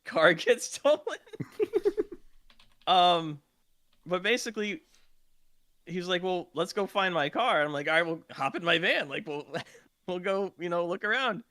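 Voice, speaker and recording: A man's voice: high-pitched, big pitch swings, a little slow, bright, forceful tone, dry recording. A man telling roleplay gaming stories in a casual podcast chat. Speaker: podcast participant, game roleplay streamer.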